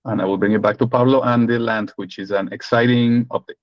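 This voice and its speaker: A man's voice: mid-range voice, fairly even intonation, a little fast, no echo, dull audio. A man with a Mexican accent giving a legal update on a community video call, expansive and joking in long winding sentences. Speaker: legal and data protection lead.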